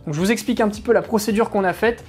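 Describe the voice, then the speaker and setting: A woman's voice: medium pitch, rapid-fire, a little mellow, breathy edge. A woman narrating a product review in an informal, chatty, explanatory way. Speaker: motorcycle gear reviewer.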